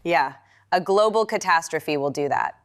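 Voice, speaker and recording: An actress playing a friendly, gossipy, affectionate shopkeeper in naturalistic audio drama dialogue, with a playful, drawling manner. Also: female voice, fairly low pitch, expressive, moderate pace, a little hiss or hum, natural voice. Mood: affectionate teasing. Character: friendly, teasing small-town shopkeeper woman, gossipy and affectionate.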